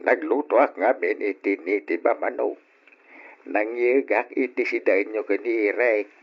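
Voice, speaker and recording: A man's voice: lowish voice, a little slow. A man with an Ilocano accent playing a kindly, hospitable older father in a radio drama. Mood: kindly, inviting. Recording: dull lo-fi old radio recording.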